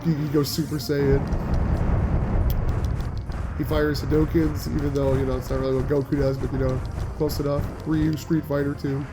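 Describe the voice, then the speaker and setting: A man's voice: a little high, level tone, moderate pace, long pauses, heavy background noise. A young man giving casual, rambling gameplay commentary with a slightly nasal voice, often restarting sentences. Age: young adult. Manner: rambling, chatty, frequent restarts and filler likes. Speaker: gaming channel host.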